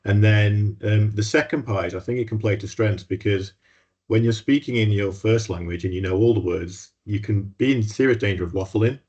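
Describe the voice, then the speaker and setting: Man, very deep voice, husky, friendly host, dull lo-fi audio. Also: normal speed, darkish tone. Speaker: workshop host. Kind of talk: hosting an online workshop.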